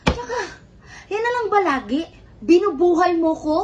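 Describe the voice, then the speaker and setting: A woman's voice: very high-pitched, very animated, moderate pace. A Filipino woman playing a rebellious student, the younger sister in a stage drama, with a theatrical, exasperated, sarcastic delivery. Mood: hurt, angry. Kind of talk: amateur one-act stage play acting in Filipino. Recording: faint background noise.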